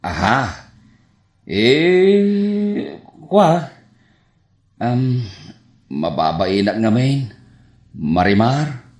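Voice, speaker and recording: An actor playing a consoling, bashful village buddy with a Filipino accent in a comedy radio drama. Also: male voice, medium pitch, sing-song, very slow, long pauses, darkish tone. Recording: roomy echo, faint music.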